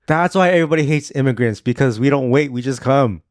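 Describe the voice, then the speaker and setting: A man hosting a podcast, talking in a relaxed, chatty way that is full of "like" and "you know". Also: medium pitch, quick pace, no room sound, studio quality. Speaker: podcast host. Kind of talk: casual chat. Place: podcast studio.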